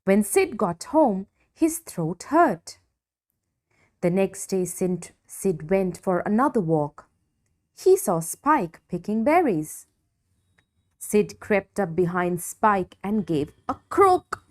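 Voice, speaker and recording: Slow, lively woman reading a children's story. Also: mid-range voice. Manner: deliberate, dramatic emphasis for young listeners. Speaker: storybook reader. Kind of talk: expressive children's story narration.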